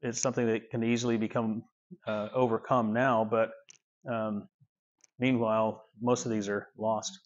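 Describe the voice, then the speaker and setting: A man's voice: lowish voice, moderate pace. Man, 50s, shop owner, casual tour talk, rambling with fillers, large indoor workshop, clip-on mic, slightly dull audio. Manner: relaxed, rambling, many fillers and restarts.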